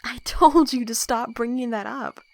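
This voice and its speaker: A woman's voice: fairly high pitch, moderate pace, creaky. A woman playing a sarcastic, bossy young assassin roommate with dry, teasing delivery in an audio roleplay. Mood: teasing, flustered. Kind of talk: casual audio roleplay acting.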